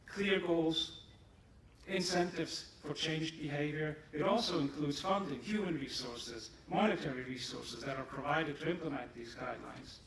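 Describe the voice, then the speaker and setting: A man whose first language is German, giving a measured, emphatic conference presentation in English in a large hall, picked up from a distance. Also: a little high, a little hiss or hum. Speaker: pharma company presenter. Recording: echoey hall, distant mic, audience.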